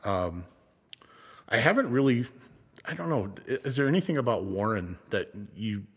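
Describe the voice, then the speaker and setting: A man from California who later lived in Minnesota, chatting casually and unscripted, halting and correcting himself as he rambles. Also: medium pitch, sing-song, breathy edge. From San Francisco Bay Area, then Minnesota. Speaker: co-creator and actor of a fictional small-town show. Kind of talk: casual unscripted backstory discussion. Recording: echoey room with long reverb.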